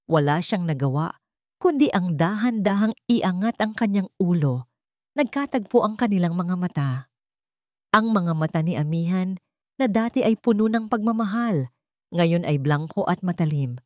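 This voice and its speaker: A woman reading a scripted dramatic story in a measured, suspenseful way with dramatic pauses. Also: fairly low pitch, a little mellow, dry recording, clean studio sound.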